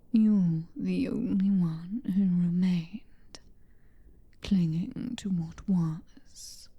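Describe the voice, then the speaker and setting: A woman playing a cruel, possessive vampire mistress in an ASMR roleplay, with a British accent and a breathy, taunting whisper. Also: medium pitch, mellow, full character voice. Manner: slow, drawn-out, taunting endearments.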